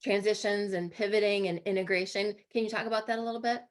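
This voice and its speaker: Slightly halting, hoarse woman co-hosting. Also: medium pitch, steady tone. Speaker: co-host and interviewer. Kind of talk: conversational interview. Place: remote video call.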